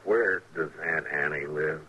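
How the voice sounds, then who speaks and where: A man playing a weary, tender frontier marshal in an old radio western, talking gently and haltingly. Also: extremely low pitch, dull audio, a little hiss or hum, exaggerated voice. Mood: gentle, weary.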